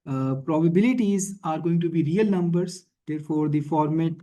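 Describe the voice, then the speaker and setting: A man teaching in a screencast tutorial, thinking aloud haltingly and often checking in with a questioning tag. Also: fairly high pitch, dark tone. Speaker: instructor. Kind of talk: screencast programming and statistics tutorial.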